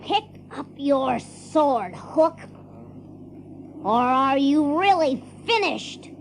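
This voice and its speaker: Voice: high-pitched, lively intonation, drawn-out words, gravelly, slight background noise, full character voice, low-quality recording. A performer playing a bold, cocky young boy hero in an audio drama, speaking in brash, defiant declarations. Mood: taunting. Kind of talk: theatrical audio drama acting.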